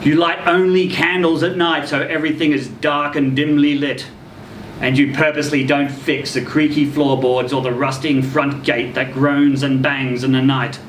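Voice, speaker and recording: A man reading a short story aloud in a theatrical, expressive way at a live event. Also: mid-range voice, normal speed. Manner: theatrical, expressive delivery with acted quotes. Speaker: reader at a live literary event. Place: indoor social venue, live event. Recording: roomy, distant event recording with noisy hum.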